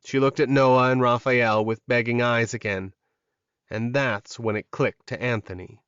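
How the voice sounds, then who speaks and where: A man's voice: medium pitch, steady tone, a little slow, fairly bright tone, clear, resonant tone, no echo, highs cut off. A man reading scripted fantasy fiction as a dramatic audiobook narrator.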